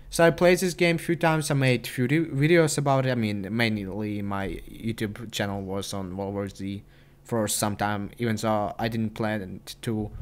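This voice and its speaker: A teenage boy from Ukraine with a Ukrainian accent, talking earnestly and haltingly in a casual vlog over gameplay. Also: mid-range voice, clear, resonant tone. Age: teens. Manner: earnest, halting, many restarts. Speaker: gaming channel host.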